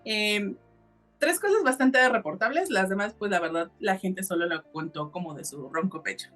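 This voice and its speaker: A Mexican woman hosts a casual podcast with music under her voice. She explains and narrates in everyday colloquial language, at a middle pitch. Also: expressive, moderate pace, fairly bright tone. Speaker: podcast host.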